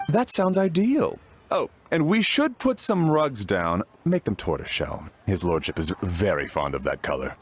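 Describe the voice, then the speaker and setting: A dutiful butler speaks for a video game in a fairly low voice. His delivery is formal and obliging, with intonation that rises and falls very widely. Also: very animated, normal speed, hardly any pauses, husky, heavily acted. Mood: polite, agreeable. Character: deferential male household butler, polite and dutiful. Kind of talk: English video game voice acting.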